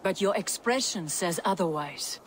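A woman's voice: fairly low pitch, animated, normal speed, close to an everyday voice. A woman playing a proud, wary Norse goddess queen in a video game, firm and guarded. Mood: concerned. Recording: clear ambience noise behind.

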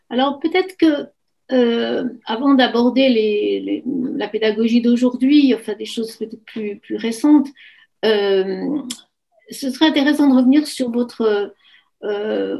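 A woman's voice: a little high, slow, creaky, dark tone, poor audio. A woman in her sixties conducting an academic interview, asking long, reflective, winding questions. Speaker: interviewer, emeritus professor of architecture. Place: home office with bookshelves. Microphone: computer video call.

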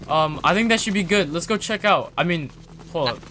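A man improvising informal roleplay chat in a video game, reacting in an animated way. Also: on the high side, a little fast, slight background noise. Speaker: roleplay video host and player.

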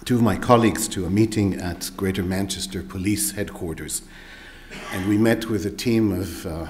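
A middle-aged man, a researcher, giving a formal, measured talk at a lectern in a seminar room. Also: deep voice, a little mellow.

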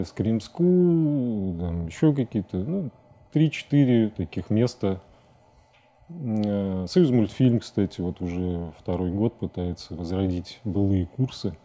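A man in his forties giving halting, thoughtful answers as a guest in a studio interview. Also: fairly low pitch, dark tone. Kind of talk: reflective studio interview talk. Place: indoor TV studio at a desk.

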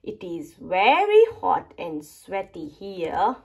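A woman reading a picture book aloud to young children in an expressive, deliberate storytelling manner. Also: mid-range voice, vocal fry, slightly rough, lo-fi sound. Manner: animated, slow, deliberate storytelling delivery. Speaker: children's book reader and channel host.

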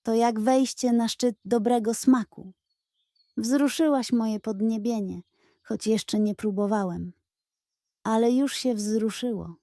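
Woman voicing a pompous high-pitched sly pug. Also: slow, mellow, no echo, clean studio sound. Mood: grandiose, moved. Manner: ceremonious, grandiose speechifying. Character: pompous, greedy, sly male pug dog.

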